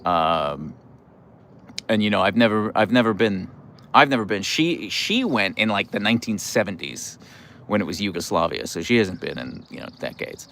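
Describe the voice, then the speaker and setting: A middle-aged American man hosts a relaxed livestream in a low voice that swings widely in pitch. He is playful and wry, reading out viewer comments and feeling his way into answers with halting starts. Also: a little hiss or hum. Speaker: livestream host.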